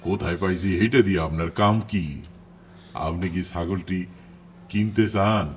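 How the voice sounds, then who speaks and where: A man's voice: sits low, steady tone, normal speed, a little hiss or hum, close to an everyday voice. A man playing a sly, rude goat thief in a cartoon, brusque and gloating, with a colloquial Bangladeshi dialect. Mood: brusque, dismissive. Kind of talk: cartoon voice acting.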